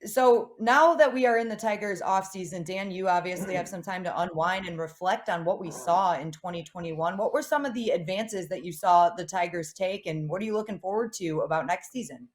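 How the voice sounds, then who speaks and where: A woman's voice: on the low side, brisk, hardly any pauses. An American woman co-hosting a sports talk show, asking questions in a conversational way. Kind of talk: conversational interviewing.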